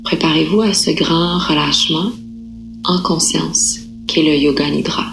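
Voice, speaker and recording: Low-voiced woman guiding a calm relaxation. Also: gravelly, soft background music, poor audio. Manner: calm, unhurried, with gentle pauses. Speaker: yoga nidra instructor. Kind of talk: guided relaxation meditation, slow and soothing instruction.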